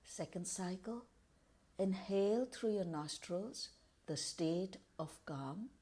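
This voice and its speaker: A woman leading a guided meditation in a calm, measured, deliberate way, pausing between short phrases. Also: mid-range voice.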